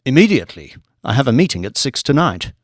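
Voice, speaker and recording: A man's voice: medium pitch, very animated, quick pace, no room sound, studio quality, lightly acted. A man reading a cool, clipped scheming operative. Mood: scheming, brisk. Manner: clipped, businesslike. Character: cool, scheming male British government operative, commanding. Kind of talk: audiobook character voice.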